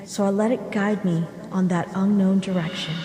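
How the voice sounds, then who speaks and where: A woman voicing a gentle, wistful monster mother back from death, speaking tenderly and reflectively in a dramatic comic dub. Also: medium pitch, steady tone, moderate pace, lightly acted. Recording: reverberant hall echo, music behind.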